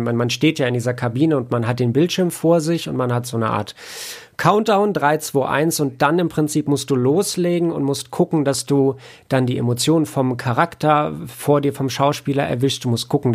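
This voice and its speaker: A man speaking as a guest in a casual interview, explaining things in a rambling, conversational way with many filler phrases. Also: mid-range voice. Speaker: interview guest, audio drama producer and author.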